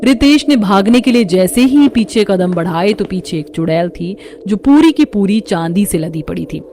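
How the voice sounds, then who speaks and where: A woman's voice: mid-range voice, animated, normal speed, mellow, a little hiss or hum. A woman reading scripted narration for a horror story.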